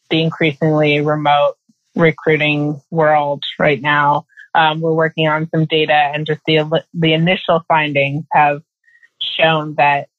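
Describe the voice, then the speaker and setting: A woman's voice: sits low, no echo. A woman hosts a conversational interview, phrasing her points thoughtfully and with some hesitation. The sound is close and dry but a little muffled, like a video call. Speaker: podcast interviewer.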